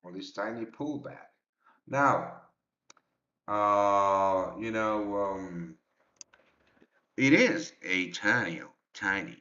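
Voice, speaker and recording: A man hosting a trading livestream in a relaxed, halting way, with many fillers as he answers the chat. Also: on the low side. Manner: reads and answers chat directly. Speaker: trading show host. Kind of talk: casual livestream market talk. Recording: dull lo-fi livestream audio.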